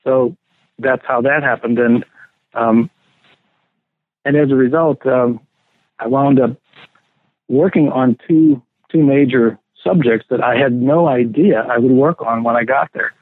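A man being interviewed as a scholar, recalling his studies in a thoughtful, unhurried, reminiscing way. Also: mid-range voice, dry recording. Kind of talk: reflective interview answers. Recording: dry, tinny phone-like line.

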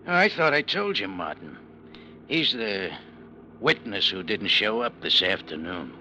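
An actor playing a menacing, casually ruthless gangster boss, terse and slangy, in 1940s radio crime style. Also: male voice, fairly low pitch, big pitch swings, a little slow, bright, forceful tone, heavily acted. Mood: cold, threatening. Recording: noisy vintage radio recording.

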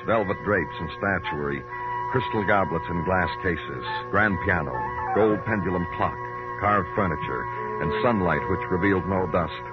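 An actor as a world-weary American detective in a vintage radio crime show. His low voice is clipped, hard-boiled and moody. Loud music runs behind the dull, aged recording. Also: normal speed, close to an everyday voice. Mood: wistful, brooding. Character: world-weary, terse, brooding male New York police detective. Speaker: lead actor and narrator. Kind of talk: 1950s radio noir acting and narration.

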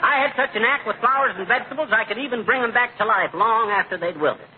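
Man playing a high, blustery radio-comedy husband. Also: quick pace, natural voice. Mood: showy bragging. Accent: American. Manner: folksy, blustery, wisecracking. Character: boastful, bumbling, wisecracking husband. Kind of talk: 1940s radio comedy acting. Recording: noisy, tinny old radio recording.

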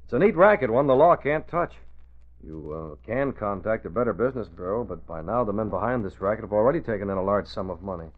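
An actor playing a determined, level-headed boss who explains things with measured authority, in 1940s radio drama style. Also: male voice, lowish voice, expressive. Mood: reasoning, amused. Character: level-headed, determined male boss, secret crime fighter. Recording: tinny, narrow old radio recording.

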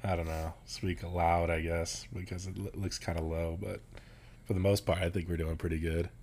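A young man co-hosting a podcast, talking loudly and rambling with frequent laughter. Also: deep voice, flat delivery, moderate pace. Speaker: podcast co-host. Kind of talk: casual podcast chat.

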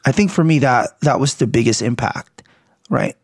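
A man in his forties, from the Philippines, talking earnestly and reflectively as an interview guest, often checking in with "right?" and soft laughs. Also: mid-range voice, expressive, moderate pace, husky, no echo, studio quality.

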